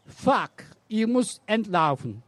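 A woman slowly reciting poems in a deliberate, halting way. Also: mid-range voice, very animated, no echo. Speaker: poetry reader. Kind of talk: slow poetry reading.

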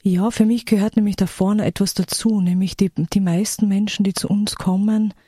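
Guest, support worker, woman, warm dark tone, earnest and steady, dry studio sound. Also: medium pitch, normal speed, slightly rough, no echo. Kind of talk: radio talk guest.

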